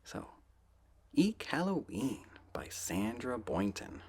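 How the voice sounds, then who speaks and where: A soft-spoken man reading children's books aloud in a gentle, playful ASMR style, often dropping close to a whisper. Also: mid-range voice, huge pitch swings, breathy edge. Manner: gentle, drawn-out, playful storybook delivery.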